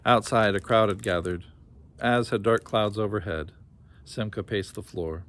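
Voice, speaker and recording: A man's voice: fairly low pitch, measured pace, fairly bright tone. Man reading a story aloud expressively.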